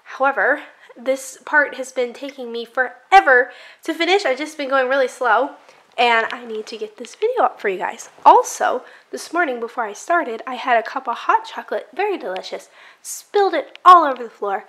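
Young woman, casual crafting voice-over, upbeat, chatty, light laughs, bright tone. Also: sits high, animated, normal speed. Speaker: crafting channel host.

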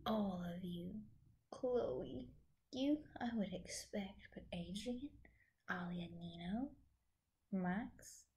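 Woman doing a bitter teen girl villain, breathy n whispery, taunting, dark mellow tone, fan drama. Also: mid-range voice. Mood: bitter, accusing. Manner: taunting, theatrical, drawn-out phrases. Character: vengeful teenage supervillainess, mocking, gleefully bitter.

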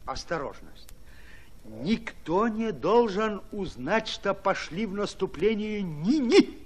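An actor playing a fiery, shrewd Red Army commander with folksy, commanding peasant speech in a radio drama. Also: male voice, sits high, sing-song, takes it slowly, breathy edge, exaggerated voice. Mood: brisk, folksy.

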